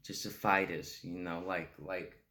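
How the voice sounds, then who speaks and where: A frightened, childlike junkie from the streets, played by a man, rambles through a stage monologue in a low voice. He stammers, doubles back on his words and pleads to be understood. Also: fairly even intonation, unhurried, pushed and bright. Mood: wistful, rambling. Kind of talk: dramatic stage monologue performance.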